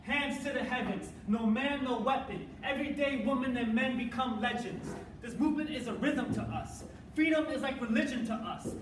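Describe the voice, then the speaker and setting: Young man in echoey live performance. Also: very high-pitched. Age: young adult. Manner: earnest, measured spoken-word delivery. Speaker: college forensics team performer. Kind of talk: reader's theater performance. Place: stage performance space. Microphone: distant room mic. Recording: echoey, distant, noisy live room.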